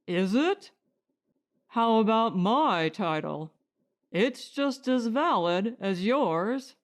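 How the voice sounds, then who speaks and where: Lady narrator doing a stubborn space settler husband, firm, terse, slow delivery, audiobook story. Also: a little high, lively intonation. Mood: defiant. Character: stubborn family man, settler on a far planet.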